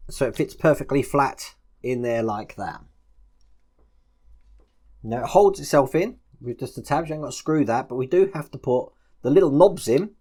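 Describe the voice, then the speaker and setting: A man's voice: mid-range voice, animated, moderate pace, dark tone, slightly rough. A man presents a model-building tutorial, informally talking through each step as he works. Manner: informal, narrating actions as he works. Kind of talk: hands-on step-by-step instructional commentary.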